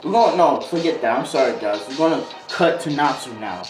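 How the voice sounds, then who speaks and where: A man's voice: medium pitch, lively intonation, fairly quick. A man telling a story casually in slangy, rambling speech, improvising as he goes and drifting into tangents. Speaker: video host. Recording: roomy echo, music behind voice. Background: music beat.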